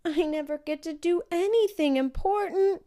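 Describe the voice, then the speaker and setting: A woman's voice: extremely high pitch, moderate pace, warm tone, pitched above the performer's own voice. A woman voicing an eager, earnest little girl in a children's storybook, childlike and emphatic. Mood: pouting.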